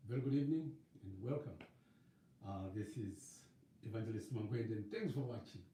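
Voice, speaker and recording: A middle-aged Zimbabwean-accented evangelist talks on his live stream in a dark, mellow voice, slightly hoarse. He wanders along with fillers and restarts. The sound is roomy and distant, picked up by a webcam, with a faint hiss behind him. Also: fairly low pitch, moderate pace. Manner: rambling, hesitant, many fillers and restarts. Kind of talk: casual live-stream chat. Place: living room with a leather sofa and shelves.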